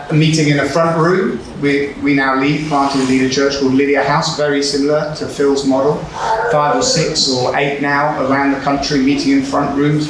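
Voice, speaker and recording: Brief: forties male speaker, Hebrew-native, Israel-raised, storytelling sermon, slightly higher voice, booming hall, heard from off-mic. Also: background noise. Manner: storytelling, warm humour, rhetorical questions. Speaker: conference speaker. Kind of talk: sermon-style talk. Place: large hall with audience. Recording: echoey hall, far from the mic.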